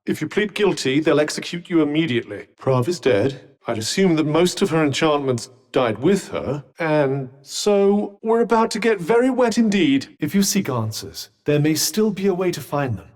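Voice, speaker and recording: A man's voice: on the high side, big pitch swings, a little mellow. A man voicing a wry, sardonic male talking magic bracelet in video game voice lines, with dry, formal, urbane speech. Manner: urbane, teasing, measured. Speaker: companion character.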